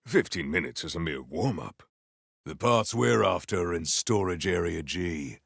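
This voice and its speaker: A male actor voicing a proud, potent warrior in a video game, with formal, grandiose, deadpan delivery. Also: deep voice, moderate pace, gravelly, breathy edge, no room sound, clean studio sound, heavily acted. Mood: stoic, formal. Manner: formal, stilted, grandiose diction. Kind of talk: scripted video game character lines.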